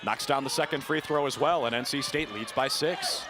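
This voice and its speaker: A man's voice: mid-range voice, moderate pace. A man in his early twenties working as a sportscaster, delivering play-by-play commentary and sports reports. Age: early 20s. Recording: clear background noise from the venue.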